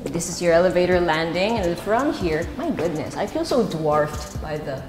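Low-voiced Filipino woman in echoey rooms. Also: animated, moderate pace. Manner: chatty, unscripted, switches into Tagalog asides. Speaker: house tour host. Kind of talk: casual guided property tour, presenting while walking. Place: empty rooms and stairwell indoors. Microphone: wireless body mic, pack at waist. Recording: echoey bare rooms, music underneath. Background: music.

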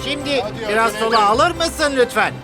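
A woman's voice: fairly high pitch, lively intonation, moderate pace, over loud music, close to an everyday voice. A woman voicing an earnest, helpful young car in a children's cartoon dub, sincere and keen. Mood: firm, careful.

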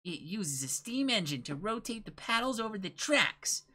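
A man's voice: high-pitched, very animated, normal speed, pushed and bright, pitched above the performer's own voice, full character voice. A man voicing a cheerful, chatty little girl who explains things in a manga reading. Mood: cheerful, proud.

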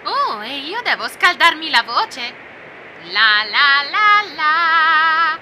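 A woman's voice: high voice, sing-song, very slow, full character voice. A woman voicing a chirpy female chicken animatronic singer who speaks in a sing-song way, in a noisy recording. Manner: sing-song, warming up her voice. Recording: loud background noise.

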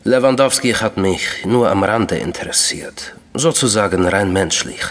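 An actor playing an enigmatic Russian official in a crime radio drama, courteous, deliberate and coolly menacing. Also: male voice, lowish voice, long reverb tail, a little hiss or hum, natural voice. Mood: courteous, guarded.

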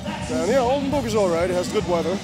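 High-pitched young man. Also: expressive, quick pace, rough recording. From Mauritius. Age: 20s. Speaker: engineering student. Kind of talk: casual on-camera testimonial. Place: outdoors on campus path. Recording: loud music behind outdoor speech.

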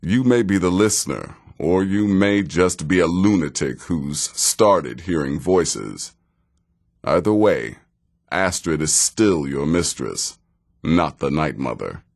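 An actor playing a sardonic middle-aged desert assassin in a fantasy video game, with a very deep, gravelly voice and a dry, measured, theatrical delivery. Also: male voice, lively intonation, darkish tone, exaggerated voice.

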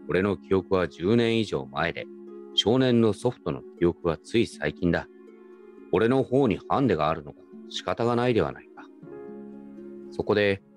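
A man playing a wry, nostalgic grown grandson, telling his story casually and reflectively in a radio drama. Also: sits low. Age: adult. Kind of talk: first-person radio drama narration and dialogue.

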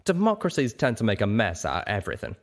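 Man playing a quick, blunt, folksy pony. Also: fairly low pitch, huge pitch swings. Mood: bitter.

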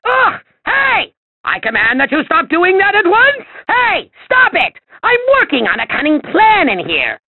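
A tiny, self-important evil genius from a cartoon video game. The voice is piercingly high, nasal and gravelly, swinging wildly up and down. He savors each pompous word with slow, theatrical relish, petulant to the core. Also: huge pitch swings, no echo, narrow, tinny audio, exaggerated voice. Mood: indignant, impatient. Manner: pompous, theatrical, drawn-out boasts and sudden shouts. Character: bombastic, petulant, self-proclaimed evil genius villain, small and male.

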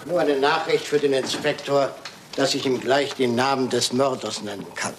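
An actor playing a shrewd, self-assured detective in a German radio crime drama, with a sly, theatrical manner. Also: male voice, mid-range voice, fairly even intonation, moderate pace, noisy background, natural voice. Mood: confident, teasing. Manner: theatrical, sly, teasing, with occasional French phrases.

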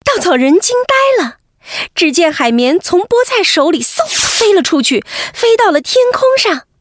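A woman storyteller narrating an expressive bedtime story for children, animated and speaking to them directly. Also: very high-pitched, normal speed, no echo, clean studio sound.

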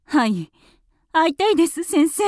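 A woman's voice: very high-pitched, very animated, unhurried, darkish tone, no echo, studio quality, exaggerated voice. A woman playing a devoted female canary in an audio drama, recounting her life politely and with wistful feeling. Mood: yearning.

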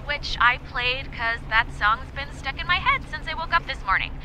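An American actress playing a perky teenage high school radio DJ, chatting in a casual, breezy, rambling way. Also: female voice, expressive, normal speed, noisy background. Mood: breezy, chatty. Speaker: student radio DJ. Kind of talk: casual radio announcing in a video game.

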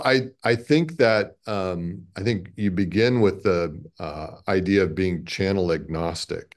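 A man in his fifties speaks in a low voice with a lot of fry in it. He answers interview questions conversationally and in a measured, hesitant way. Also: a little mellow. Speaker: radio station president, interview guest. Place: small indoor office, on a video call.

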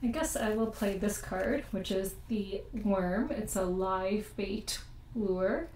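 A young woman talks through a card game at her table at home, at an ordinary pitch, explaining each step and stopping to check rules. The sound is roomy and a little distant from the mic, with a faint hum behind her. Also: takes it slowly, darkish tone. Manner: chatty and explanatory, often second-guessing the rules. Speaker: board game channel host. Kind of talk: casual board game playthrough. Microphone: desk USB mic with room echo. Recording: echoey room, mic set far off.

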